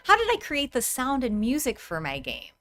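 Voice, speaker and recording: A woman's voice: sits high, huge pitch swings, moderate pace, fairly bright tone, no echo, soft background music. A woman in her thirties giving a tutorial to camera in a conversational, explanatory manner. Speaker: host, VR game designer.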